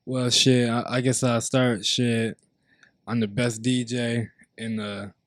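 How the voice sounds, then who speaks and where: A man's voice: medium pitch, flat delivery, takes it slowly, no room sound, clean studio sound. Emphatic, profane man DJ. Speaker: DJ guest. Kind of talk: casual podcast chat.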